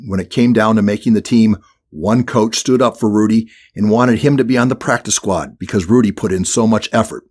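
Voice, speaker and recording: A man's voice: fairly low pitch, fairly quick, darkish tone. A man reading a scripted children's audiobook as the narrator.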